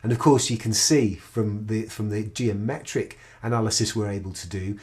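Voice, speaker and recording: A man's voice: fairly low pitch, steady tone, normal speed, darkish tone. A middle-aged man, a researcher, explaining a research method in an enthusiastic, explanatory way, often restarting his sentences. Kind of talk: recorded project presentation.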